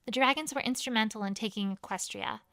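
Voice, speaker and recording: A woman's voice: a little high, fast, pushed and bright, dry recording, clean studio sound. A woman reading a first-person fantasy story as a dramatic narration. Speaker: fan fiction reader.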